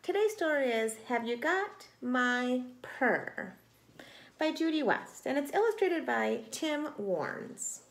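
Woman, forties, expressive children's read-aloud, unhurried, echoey home recording, faint noise. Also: sits high, creaky. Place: small room at home, indoors. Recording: echoey room, light background noise.

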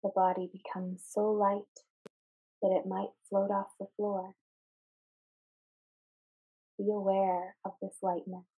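A woman guides a meditation in a soft, husky-breathy voice. Her delivery is very slow and level, with little rise or fall in pitch. She speaks calmly and soothingly, pausing between short phrases. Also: phone-quality sound. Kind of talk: guided meditation, calm scripted narration.

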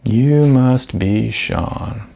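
A man voicing an unenthusiastic young stoner club owner in an audiobook, with a slow, flat, dismissive drawl. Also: medium pitch, creaky.

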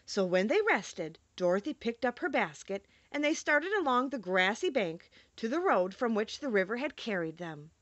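A woman's voice: a little high, big pitch swings, normal speed, few pauses, a bit bright, dry recording, muffled recording. A woman reading a children's storybook aloud as an audiobook narrator. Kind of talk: expressive scripted storybook narration.

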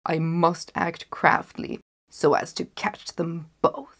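A woman's voice: lowish voice, slow, fairly bright tone, full character voice. A woman voicing a crafty, wicked male wolf in a fairy tale, speaking in a coaxing, emphatic way. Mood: greedy scheming.

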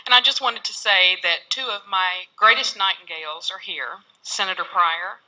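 A woman's voice: medium pitch, normal speed, poor audio. Chatty woman speaking up from the audience. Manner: warm, chatty, humorous. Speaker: audience member. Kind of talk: public remarks at a forum. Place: large lecture hall with audience.

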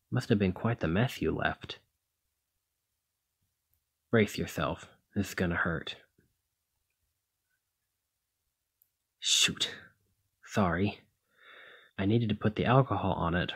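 A man playing a gruff, protective demigod hero in an ASMR roleplay, scolding yet caring, with a husky voice. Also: deep voice, exaggerated voice. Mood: soothing. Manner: exasperated, bossy, caring.

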